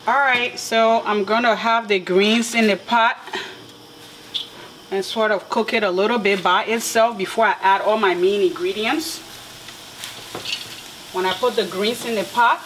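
A woman of middling pitch walks through a recipe in her home kitchen, explaining each step in an easy, relaxed way. Food sizzles and frying sounds come through clearly behind her voice. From Liberia. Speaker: cooking vlogger. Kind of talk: casual cooking demonstration. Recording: clear kitchen cooking noise. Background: frying and sizzling food, stirring.

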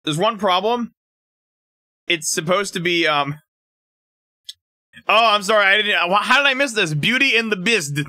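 A man streaming live, chatting casually with viewers, animated, laughing often and stumbling as he reads things aloud. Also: sits high, normal speed, long pauses, no echo, studio quality.

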